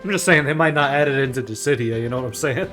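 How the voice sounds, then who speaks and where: A man's voice: medium pitch, lively intonation, fast, sharp tone. A man chatting casually over gameplay as a co-host, full of teasing, jokey banter. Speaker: let's play co-host. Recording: game music behind the voice. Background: video game music.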